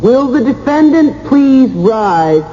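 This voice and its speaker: Casting: formal, accusing judge for radio spot; high voice, slow delivery, long hall reverb. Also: male voice, very animated, dull audio, over music, heavily acted. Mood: stern. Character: stern mock courtroom judge passing sentence at home. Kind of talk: radio public-service sketch.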